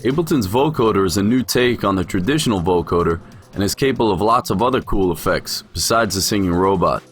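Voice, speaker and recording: Guy with a lowish voice explaining stuff step by step in a software tutorial, music going behind him. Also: expressive, brisk. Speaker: music production instructor.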